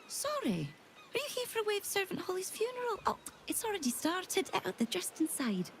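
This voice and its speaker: A woman playing a devout, grieving temple acolyte in a fantasy game, with a soft, reverent manner. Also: very high voice, wildly swooping pitch, normal speed, a little hiss or hum, heavily acted. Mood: polite welcome. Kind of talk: fantasy video game acting.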